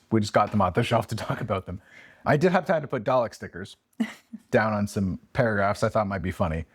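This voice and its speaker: A man's voice: fairly low pitch, dry recording. A man co-hosting a casual book chat, reading aloud and commenting with playful, joking asides. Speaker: podcast co-host reading a classic aloud.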